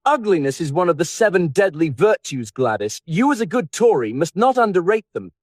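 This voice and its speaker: Man voicing a lively, witty, high-toned aristocratic dandy. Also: fairly quick, no room sound, studio quality. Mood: dry wit. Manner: aphoristic, teasing, theatrical. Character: witty male English aristocrat, languid epigrammatic dandy. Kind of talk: audiobook character dialogue.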